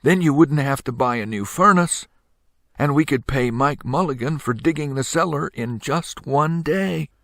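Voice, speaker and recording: A man's voice: on the high side, normal speed, fairly bright tone, dry recording, clean studio sound, pitched above the performer's own voice. A man voicing an eager little boy in a children's storybook reading. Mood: earnest, hopeful.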